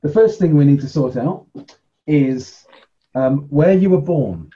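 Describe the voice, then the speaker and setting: A man's voice: on the high side, lively intonation, measured pace, dull audio. A man casually running a tabletop role-playing game and explaining the rules as game master.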